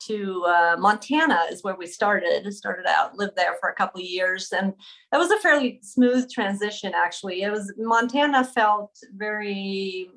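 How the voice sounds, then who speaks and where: A woman's voice: mid-range voice, normal speed, fairly bright tone, rough recording. A woman from Sweden, a podcast guest telling her story conversationally and searching for words. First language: Swedish.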